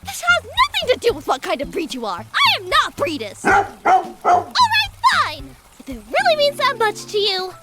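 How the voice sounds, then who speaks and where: Very high, animated little cartoon girl. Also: moderate pace, loud music behind the voice, heavily acted. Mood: earnest, uneasy. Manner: perky, earnest, expressive. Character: cheerful, naive ten-year-old girl newcomer. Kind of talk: animated parody dub acting.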